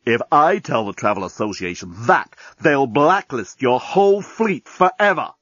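A man's voice: mid-range voice, big pitch swings, moderate pace, a bit bright, dry recording. A man voicing an indignant male traveller who makes angry demands. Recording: dull, dry recording.

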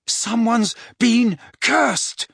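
Urgent storybook schoolboy, raised and higher, read by a man. Dry, close sound. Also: big pitch swings, measured pace, husky, no room sound, exaggerated voice. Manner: urgent, breathless shouting. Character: urgent brave teenage schoolboy.

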